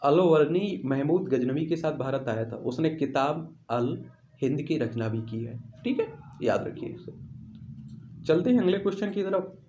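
Higher-voiced male quiz teacher in echoey room. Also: expressive, moderate pace, warm tone, slight background noise. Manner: repeats questions and answers for emphasis. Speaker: teacher presenting exam quiz questions. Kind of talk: instructional quiz walkthrough.

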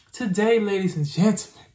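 A man's voice: sits high, a little mellow. Playful Southern US man in a roomy space. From southern United States. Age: young adult. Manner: slangy, playful, repeats himself. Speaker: co-host of a reaction channel. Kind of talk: casual reaction chat. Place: small indoor room with plain wall.

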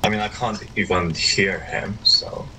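A man's voice: on the low side, steady tone, unhurried, pushed and bright, slight background noise, rough recording. A tired man playing a game with a friend for the first time, hesitant and stammering as he asks questions. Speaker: co-op partner, new to the game. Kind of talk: casual gaming chat.

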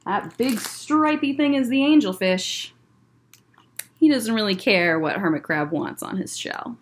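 A young American woman, a children's librarian, reading a picture book aloud to children with expressive, deliberate phrasing and short asides. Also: medium pitch, a little hiss or hum. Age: young adult. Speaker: children's librarian hosting story time. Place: indoor library room lined with bookshelves. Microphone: webcam at desk distance.

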